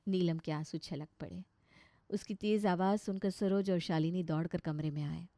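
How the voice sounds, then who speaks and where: A woman's voice: on the low side, steady tone, normal speed, creaky, mellow, dry recording. Woman narrating a story, dry sound. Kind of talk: scripted narration.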